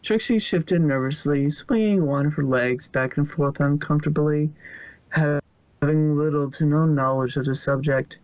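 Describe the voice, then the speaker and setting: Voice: mid-range voice, unhurried, darkish tone, dry recording. A narrator reading a fan story aloud in a plain way, often stumbling and restarting words. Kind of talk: amateur fanfic reading. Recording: dull lo-fi home recording.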